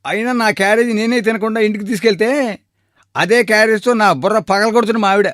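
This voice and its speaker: A man's voice: high-pitched, steady tone, quick pace, a bit bright, no echo, clean studio sound. A man playing a deferential but plain-spoken shop servant in a cartoon moral story. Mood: protesting. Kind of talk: animated moral story dialogue.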